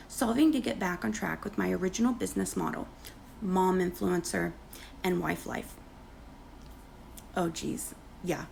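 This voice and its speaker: A woman talks through someone's posts in a casual, mocking commentary, with sarcastic asides. Her voice is strongly gravelly with constant fry, and she is losing it. A faint noise sits under the recording. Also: medium pitch, darkish tone, rough recording. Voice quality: creaky, gravelly. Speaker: commentary creator. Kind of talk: casual gossip commentary reading posts aloud.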